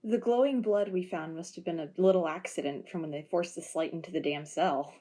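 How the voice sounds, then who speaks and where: A woman's voice: lowish voice, brisk, mellow, natural voice. A woman playing sly policewoman Riley. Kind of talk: amateur audio drama acting.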